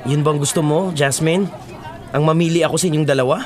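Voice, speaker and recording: A man's voice: fairly high pitch, fairly even intonation, fairly quick, a little mellow, lightly acted. A man playing an impatient, evasive young boyfriend in a Filipino radio drama. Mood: placating. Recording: music bed under the voice.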